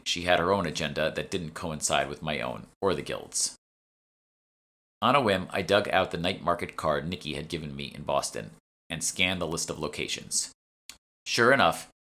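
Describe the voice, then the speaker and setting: A man's voice: sits low, sharp tone. A man reading scripted narration from a fantasy novel as its author. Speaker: author reading own audiobook.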